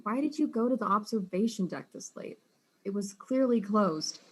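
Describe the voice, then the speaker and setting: A woman's voice: medium pitch, expressive, normal speed, husky. A woman playing a blunt, exasperated detective in a comic audio drama, dry, impatient and sarcastic.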